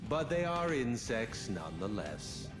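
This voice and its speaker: An actor playing a grandiose, arrogant villain emperor in a video game, with a pompous, deliberate, mocking delivery. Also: male voice, medium pitch, sing-song. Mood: smug, mocking. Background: orchestral game music.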